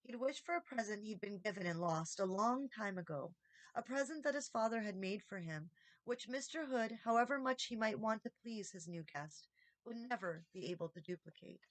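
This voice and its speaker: A woman reading a children's story aloud as expressive audiobook narration. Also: medium pitch, moderate pace, dry recording. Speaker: audiobook reader.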